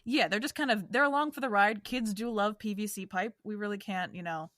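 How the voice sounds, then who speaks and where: Woman podcast co-host, mid-pitched and dry, loose rambling talk. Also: brisk, a bit bright, dry recording. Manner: rambling, hedging with frequent "like". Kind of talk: casual chat.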